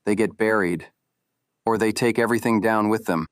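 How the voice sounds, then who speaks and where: Low-voiced, guarded man played by an actor. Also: steady tone, no room sound, studio quality, lightly acted. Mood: grave, resigned. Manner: terse, measured, ominous. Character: guarded, weary man warning two investigators. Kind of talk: noir audio drama acting.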